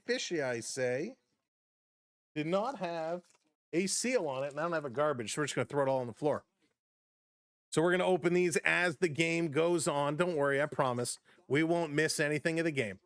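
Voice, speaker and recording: A man hosting a casual live-stream baseball play-by-play, chatty and upbeat as he greets viewers, his voice slightly hoarse from overuse. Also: a little high, dry recording. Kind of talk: casual play-by-play with chat reactions.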